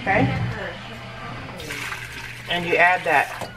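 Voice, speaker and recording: A woman's voice: lowish voice, expressive, extremely slow. A chatty woman cooks at home and walks her viewers through each step, easygoing and reassuring. She is picked up by a camera mic across the kitchen. Cutlery and dishes clink in a noisy background. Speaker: home cooking vlogger. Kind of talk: casual cooking walkthrough.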